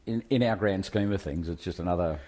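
A deep-voiced man in a relaxed chat among gamers. He argues his point carefully and stumbles through restarts before getting it out. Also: brisk. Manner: reasoned, stammering restarts. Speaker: player. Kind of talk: casual chat.

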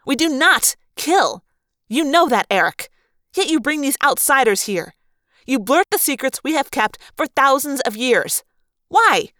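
A woman's voice: medium pitch, moderate pace, fairly bright tone, dry recording, clean studio sound, natural voice. A woman doing a stern old android woman. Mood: heated. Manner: firm, heated, indignant. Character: stern elderly woman hologram of a pacifist android.

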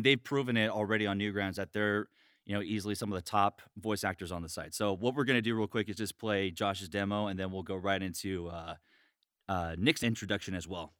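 A man's voice: lowish voice, a bit bright, clear, resonant tone, no room sound, clean studio sound. A man hosting a casual podcast chat in a jokey manner. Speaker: podcast host and voice actor.

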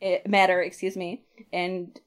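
A woman's voice: lowish voice, flat delivery, unhurried, clean tone. A woman hosting a podcast in a casual chat, retelling a comic playfully and acting out lines with little asides. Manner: playful, acts out quoted dialogue with asides. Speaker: podcast host.

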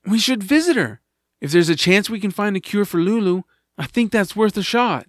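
A man's voice: high-pitched, expressive, moderate pace, dry recording, clean studio sound. A man acting an earnest, kind-hearted young adventurer who also narrates in an audio drama. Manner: earnest, eager.

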